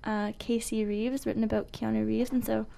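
A woman's voice: on the high side, flat delivery, normal speed, gravelly, warm tone. A woman co-hosting a radio show in casual, unscripted chat, with a giggly, joking manner. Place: radio studio.

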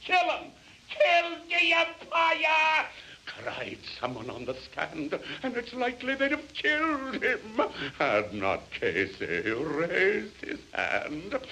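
A man recites verse in a theatrical, declamatory way, with a bright, forceful voice that swoops widely in pitch. He takes his time and leans on key words for drama, over some faint noise. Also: poor audio.

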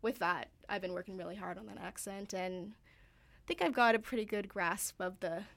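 A woman in her early twenties talks casually about her acting work in an interview. She is chatty and laughs easily, with a voice of ordinary pitch. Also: normal speed, gravelly, fairly bright tone. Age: 20s. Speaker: actor guest.

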